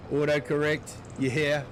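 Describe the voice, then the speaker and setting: A man's voice: a little high, slight background noise. A middle-aged man with a New Zealand accent, chatting casually with viewers while streaming a farming game and greeting them by name. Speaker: gaming streamer. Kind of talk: casual live-stream chat while playing.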